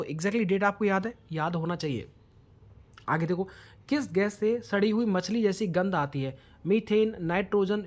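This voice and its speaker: A male coaching teacher takes listeners through a quiz-style lesson. He speaks in an instructional way, putting questions to them and dropping English words into his explanations. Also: sits high.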